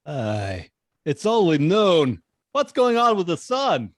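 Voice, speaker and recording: An eager young newspaper photographer, acted by a man at a table read. His fairly high voice swings up and down with lively, exclamatory energy, recorded close and dry. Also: takes it slowly, dry recording, exaggerated voice. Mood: eager, startled. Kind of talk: amateur script table read.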